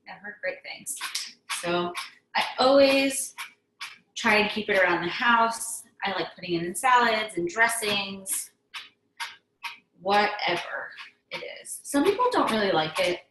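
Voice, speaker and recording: A woman's voice: fairly low pitch, a bit bright, reverberant, a little hiss or hum, rough recording. A young woman whose first language is Hebrew, chatting enthusiastically while teaching a cooking class in a home kitchen, with lots of ums and restarts. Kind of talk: casual cooking demonstration.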